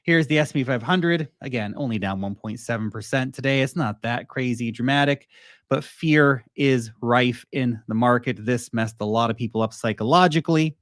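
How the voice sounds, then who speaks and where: A man's voice: mid-range voice, normal speed, fairly bright tone, no echo, studio quality. A man hosting a casual livestream on crypto and stock markets, rambling through asides and answering his chat as he goes. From United States.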